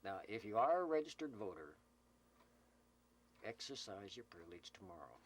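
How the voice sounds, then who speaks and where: A man's voice: on the low side, very animated, normal speed. A man hosting a community CB radio roll call, giving folksy, improvised announcements in a joking, teasing, rambling way. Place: Slab City, California desert camp. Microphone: CB radio microphone, filmed while speaking.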